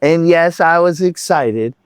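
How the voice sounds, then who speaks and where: A man's voice: fairly high pitch, slow pace, clear, resonant tone, no room sound. A man telling a concert story in a casual, rambling vlog chat, full of restarts and asides. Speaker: vlogger.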